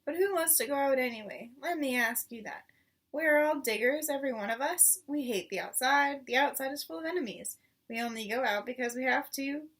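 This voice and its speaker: A woman's voice: sits high, a bit bright, distant mic. A woman voicing a proud male fox leader giving an emphatic speech in a children's storybook.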